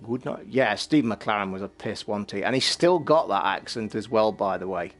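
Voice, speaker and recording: A man hosting a casual late-night livestream from his flat, talking in a rambling, blunt way and swearing freely. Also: lowish voice, moderate pace. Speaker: livestream host. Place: home flat.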